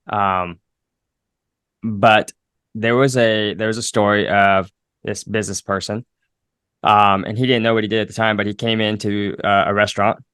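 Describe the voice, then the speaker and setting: A man hosting a podcast who tells anecdotes in a relaxed, affirming way. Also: lowish voice, smooth, no room sound, studio quality. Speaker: podcast host. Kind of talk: conversational storytelling.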